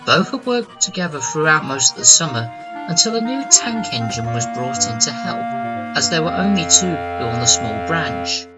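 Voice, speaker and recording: A storybook narrator reads a scripted children's tale. The intonation is lively and varied, and loud music runs underneath the voice. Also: medium pitch, normal speed, rough recording.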